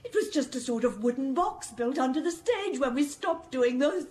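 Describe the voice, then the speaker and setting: A woman's voice: high-pitched, expressive, normal speed, darkish tone. An actress playing a genteel, fluttery British married lady in a radio mystery drama, polite and theatrically distressed. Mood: faint, flustered.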